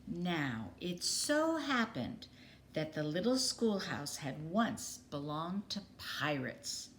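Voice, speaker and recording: A woman storyteller with a slightly low voice reads a children's story aloud. Her delivery is expressive and very varied in pitch, with dramatic pauses, recorded with faint background noise.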